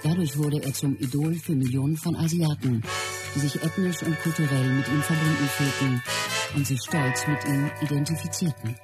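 An actress playing a widow in a radio drama, recounting her husband's death in a measured, sober way. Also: sits low, fairly even intonation, close to an everyday voice. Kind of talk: scripted radio drama narration. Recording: music playing behind the voice.

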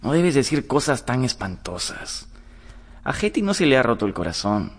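An actor playing an earnest, remorseful young romantic gentleman in a radio drama, speaking with dramatic sincerity. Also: mid-range voice, sing-song, moderate pace, husky. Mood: protesting, earnest. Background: music.

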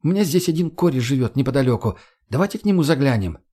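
A man's voice: medium pitch, expressive, fast, mellow, voice pushed up, close to an everyday voice. A man voicing a brash, sarcastic, foul-mouthed young woman in an audiobook, slangy and argumentative. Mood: bossy. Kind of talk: audiobook character voice.

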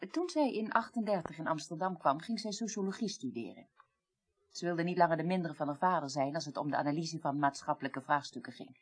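An actress playing a weary, well-read middle-aged woman in a radio play, recounting the past deliberately and articulately. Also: low-pitched. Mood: reflective. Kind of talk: 1980s radio play acting.

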